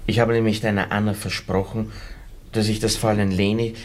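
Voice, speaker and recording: An actor playing a weak-willed young comrade who speaks hesitantly and apologetically, pleading excuses in a radio drama. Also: male voice, fairly low pitch, flat delivery, brisk, natural voice. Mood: self-justifying. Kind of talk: naturalistic radio drama acting. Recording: faint background noise.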